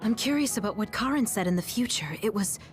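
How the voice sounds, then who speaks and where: An actress playing a gentle, thoughtful female major in an anime video game dub. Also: mid-range voice, animated, quick pace, faint music behind, natural voice. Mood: pondering.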